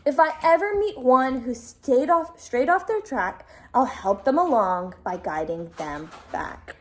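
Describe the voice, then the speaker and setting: A woman's voice: high voice, animated, measured pace. Woman doing an eager little storybook wolf pup. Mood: earnest. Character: small proud eager young male wolf pup.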